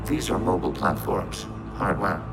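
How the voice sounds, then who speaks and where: A man's voice: low-pitched, lively intonation, normal speed, over music. A man playing a synthetic machine intelligence in a video game, speaking in literal, formal, emotionless phrases through a robotic filter. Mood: detached, logical.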